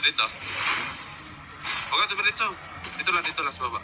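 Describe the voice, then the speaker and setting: A man's voice: fairly high pitch, animated, fast. A man with a Filipino accent giving casual callouts to a teammate in a mobile game, in short, repeated warnings and directions. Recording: very loud music over the voice. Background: very loud music.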